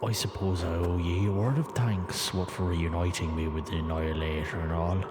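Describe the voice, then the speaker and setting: A man's voice: sits low, steady tone, normal speed, heavy background noise, close to an everyday voice. An actor playing a crude, mocking henchman with a wry, taunting manner in an audio drama. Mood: sardonic farewell. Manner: taunting, wry, foul-mouthed. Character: sardonic, crude, mocking male henchman of a sorcerer. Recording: echoey cave reverb, music underneath.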